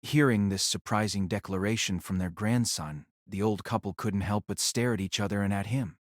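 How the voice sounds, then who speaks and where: A man's voice: lowish voice, moderate pace, no room sound, clean studio sound. A man narrating a scripted audio drama as a storyteller.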